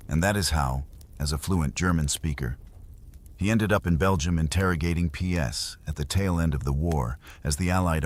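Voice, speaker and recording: A man narrating history in an unhurried, conversational way, as if explaining to a listener. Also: very deep voice, a little mellow, breathy edge. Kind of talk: documentary history storytelling.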